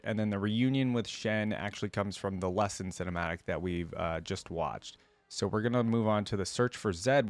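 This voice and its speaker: A man with a fairly low voice presents a casual reaction video. He speaks informally, thinking out loud as he goes and at times reading text aloud. The sound is very dry, with no room echo.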